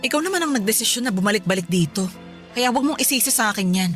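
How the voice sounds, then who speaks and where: A woman's voice: a little high, lively intonation, fast talker, soft background music, close to an everyday voice. A woman playing a sly young mistress in a Filipino radio drama, feigning innocence with a smug, teasing manner. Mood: smug, defiant.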